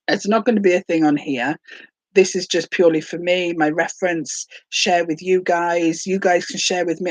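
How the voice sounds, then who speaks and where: A woman's voice: on the low side, darkish tone, dry recording, low-quality recording. A woman in her late forties from Hereford, chatting casually and rambling a little in a first-ever vlog while full of a cold with a sore throat. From England, Hereford. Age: late 40s. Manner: rambling, self-deprecating, chatty. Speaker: vlogger.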